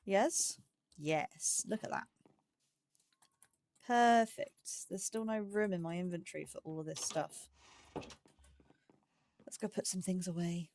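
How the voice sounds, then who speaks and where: A woman's voice: fairly low pitch, darkish tone, slight background noise. Chatty woman narrating her block building. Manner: chatty, talks to herself while building, quick yelps when startled. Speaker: let's play host. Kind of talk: casual gameplay commentary.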